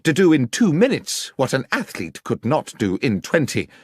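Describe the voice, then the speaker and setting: A man's voice: mid-range voice, sing-song, normal speed, slightly rough, no room sound, clean studio sound, voice pushed up. A man voicing a young vicar in an audiobook, indignant and scornful, with a genteel, haughty, sarcastic manner. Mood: insolent fury. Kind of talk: audiobook character acting.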